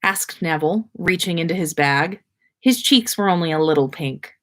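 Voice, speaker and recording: A woman's voice: on the low side, lively intonation, normal speed, fairly bright tone. A woman narrating a story aloud as a podcast host reading for listeners.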